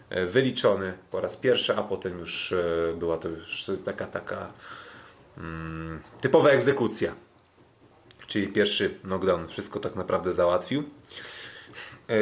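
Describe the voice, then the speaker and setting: A Polish man co-hosting a sports podcast, recapping fights casually in a hesitant way with drawn-out fillers and restarts. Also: on the low side. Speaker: podcast co-host, kickboxing commentator.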